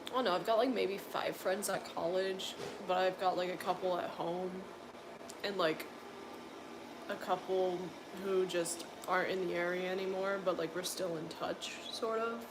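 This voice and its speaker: A young woman from coastal North Carolina with a deep voice, chatting casually on a livestream and answering viewers' comments with lots of filler words. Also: steady tone, normal speed, noisy background. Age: young adult. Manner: rambling, filler-heavy, exasperated. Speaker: livestream host.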